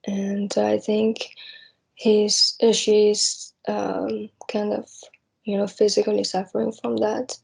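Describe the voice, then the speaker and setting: A young woman from East Asia speaking off the cuff and reflectively, hesitant and halting as she searches for words. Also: medium pitch, completely flat delivery, creaky, a little mellow, slightly rough, poor audio. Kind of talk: unscripted spoken reflection on personal hopes.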